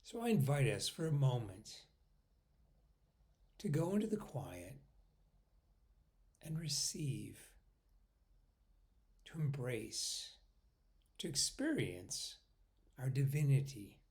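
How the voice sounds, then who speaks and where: Man, meditation guide, slow pace, lively pitch movement, short paused phrases, soft trailing endings. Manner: unhurried, pausing between short phrases, often trailing off softly.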